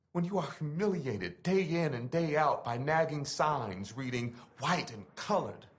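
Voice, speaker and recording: A man giving a dramatic recitation of a written letter in an oratorical, impassioned manner with emphatic phrasing. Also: a little high.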